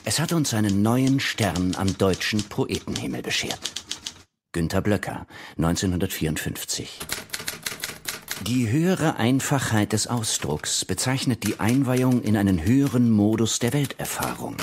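A man with a fairly low voice reads quotations formally. Clear clicking typewriter noise runs behind his declamatory delivery. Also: sing-song, normal speed. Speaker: quote reader. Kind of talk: reading out press quotations.

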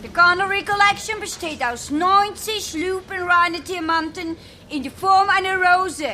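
An actress playing a brisk auctioneer in a children's audio drama, calling bids in a ceremonious, declamatory manner. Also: female voice, very high-pitched, sharp tone, a little hiss or hum, exaggerated voice.

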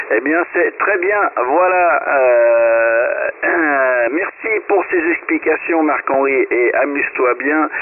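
A Swiss French man, a radio amateur, replying on the air in a hesitant way with frequent fillers. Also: mid-range voice. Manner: hesitant, many "euh" fillers. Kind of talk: casual radio chat. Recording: tinny shortwave radio with hiss.

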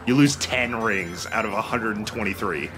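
A man's voice: on the low side, normal speed, low-quality recording. A man commentating casually on a let's play, chatting with friends. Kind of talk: casual chat over gameplay. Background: game music.

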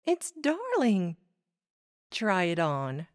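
Casting: woman as composed, gentle monster mother in audio drama, unhurried, with lively intonation. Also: medium pitch, slight background noise, heavily acted. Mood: coaxing.

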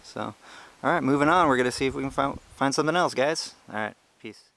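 A young man casually narrating a hiking vlog outdoors on a trail, explaining things in a relaxed, chatty way with many fillers, recorded on the handheld camera he films with. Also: mid-range voice, fast. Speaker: ant-keeping channel host. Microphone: handheld camera he is filming with.

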